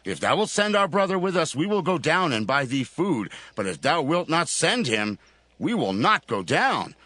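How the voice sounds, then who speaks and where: A man's voice: a little high, sing-song, normal speed, bright, forceful tone, no echo, full character voice. A man playing an earnest pleading son. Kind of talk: dramatized scripture reading.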